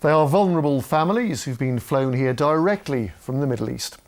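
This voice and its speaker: British male presenter, middle pitch, even scripted news reading. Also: a little fast. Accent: British English.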